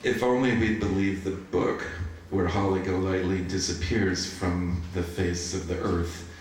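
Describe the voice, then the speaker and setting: A man reading poetry aloud at a live event in a measured way. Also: sits low, steady tone, crowd noise. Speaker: poetry reader. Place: bookshop event, recorded from a distance. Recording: echoey room from far off, faint noise.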